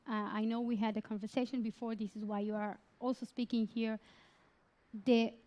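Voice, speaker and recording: A woman's voice: on the high side, a little mellow. A woman moderating a conference panel, friendly, with laughing asides.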